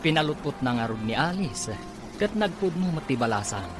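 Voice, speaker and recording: A man's voice: a little high, big pitch swings, moderate pace. A man with an Ilocano accent delivering scripted radio drama narration as the show's announcer. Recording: music under the voice.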